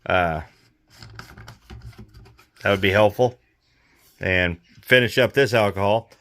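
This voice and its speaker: A man hosting a repair vlog, talking casually and thinking aloud in an unhurried way as he demonstrates hands-on work. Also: fairly low pitch, fairly bright tone. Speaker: host of a radio repair vlog.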